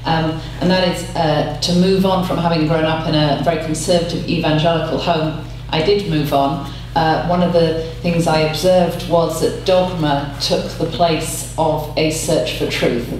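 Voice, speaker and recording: A woman giving a measured, reflective explanation as a panel speaker. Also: low-pitched, steady tone, darkish tone. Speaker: panel speaker, interfaith academic. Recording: echoey hall, distant mic, faint hum.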